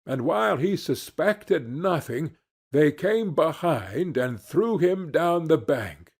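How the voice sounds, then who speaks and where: A man narrating a fairy tale from a script in a measured, deliberate storytelling manner. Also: a little high, expressive, husky.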